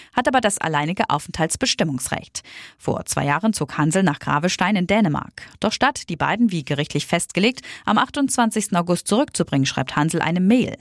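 A woman presenting news stories in a scripted, lively news-reading style. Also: fairly low pitch, dry recording, clean studio sound.

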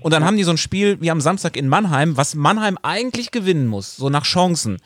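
A male pundit rushes through his recap of a match, with a slightly high voice. The recording is dry and close, with no echo. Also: animated, a bit bright. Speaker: football pundit. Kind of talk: casual football talk.

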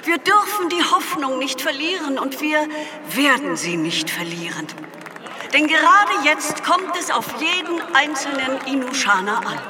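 A grave president of an alien planet in a sci-fi audio comedy. Her delivery is slow, stately and full of pathos, pitched high. Long reverb and noisy ambience surround her as she rallies her citizens. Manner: stately, emphatic, pathos-laden oratory.

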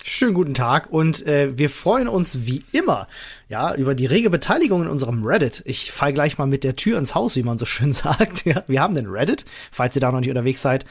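A man's voice: medium pitch, big pitch swings, brisk, breathy edge. A man from Berlin co-hosting a podcast, chatting casually in an upbeat way and laughing often. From Berlin, Germany. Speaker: podcast co-host. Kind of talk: casual chat.

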